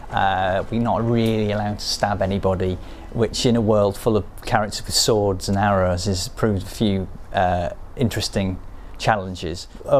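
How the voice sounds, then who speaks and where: Low-voiced man, hesitant, thinking aloud. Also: slight background noise. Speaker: game developer explaining cut scenes. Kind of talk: behind-the-scenes interview, unscripted explanation.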